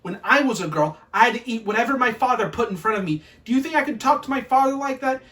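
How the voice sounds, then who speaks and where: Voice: mid-range voice, lively intonation, fast talker, fairly bright tone, distant mic, voice pushed up, close to an everyday voice. A storyteller voicing a strict, indignant parent who lectures and nags a sulking child. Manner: nagging, emphatic, lecturing.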